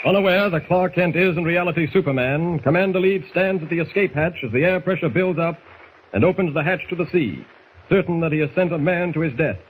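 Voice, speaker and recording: A man's voice: on the high side, a little fast, a little hiss or hum. A man narrating a 1940s radio serial in a grave, suspenseful scripted style. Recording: thin phone-like old radio recording.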